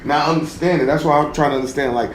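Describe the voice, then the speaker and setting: A man's voice: fairly high pitch, fairly even intonation, quick pace, reverberant, a little hiss or hum. A man hosting an interview, asking easygoing questions full of fillers. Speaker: interview host.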